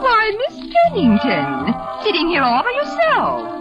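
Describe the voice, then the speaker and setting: Bossy society hostess, gushing, swooping high voice, condescending, vintage radio play, loud music behind. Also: female voice, huge pitch swings, unhurried, full character voice. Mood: bubbly, insistent. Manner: effusive, breezily condescending. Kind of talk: 1940s radio drama acting.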